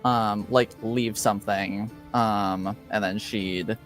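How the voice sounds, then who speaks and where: A man's voice: fairly low pitch, fairly even intonation, a bit bright, soft background music. Hesitant man running a tabletop game. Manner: hesitant, halting, thinking aloud. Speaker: game master. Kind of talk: casual game-mastering of a tabletop roleplaying session.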